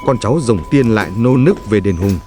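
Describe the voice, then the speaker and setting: A man narrating a legend from a script, with solemn, measured storytelling. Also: on the low side, lively intonation. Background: music.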